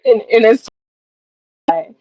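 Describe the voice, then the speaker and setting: A woman's voice: measured pace, dry recording, lo-fi sound. A woman in her twenties talking reflectively and casually as an interview guest, feeling her way through her thoughts. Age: 20s. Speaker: guest, audio drama writer.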